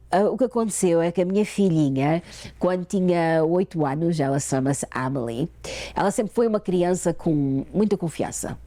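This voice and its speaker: A middle-aged woman from Portugal with a European Portuguese accent tinged by Canada, telling a personal story as a guest, unhurried and full of fillers. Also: lowish voice, dark tone, dry recording. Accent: European Portuguese with a Canadian touch. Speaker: guest author. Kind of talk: personal storytelling in a talk show interview.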